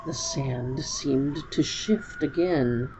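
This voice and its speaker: A woman's voice: rumbling low, very slow, lo-fi sound, background noise. A woman storyteller giving a dramatic reading of a story.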